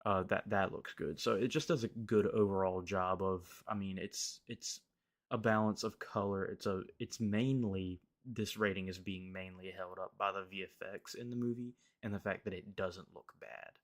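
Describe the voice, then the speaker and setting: Low-voiced, slightly hoarse young man. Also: fairly even intonation, moderate pace. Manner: rambling, filler-heavy, frequent restarts. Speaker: movie reviewer. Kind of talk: casual solo review.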